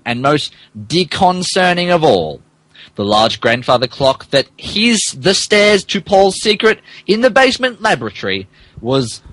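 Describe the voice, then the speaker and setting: A man's voice: fairly high pitch, a bit bright, dry recording, low-quality recording. A man hosting and narrating, moving between casual chat and mock dramatic reading, amused and stumbling over garbled text. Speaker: podcast host and narrator.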